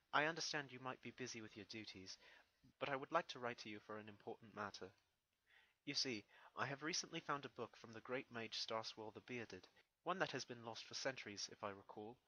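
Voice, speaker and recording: A man voicing a mysterious male pony reading out his letter in a formal, measured and polite way. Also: medium pitch, sharp tone, poor audio.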